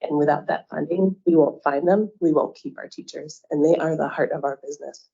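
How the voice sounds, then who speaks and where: A woman with a low, gravelly voice that sounds dark and a little husky. She co-owns a childcare center and lays out her points in a plain, earnest way on a panel. The sound is dull and lo-fi. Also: fairly even intonation, fairly quick. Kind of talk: panel discussion. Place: event room with audience.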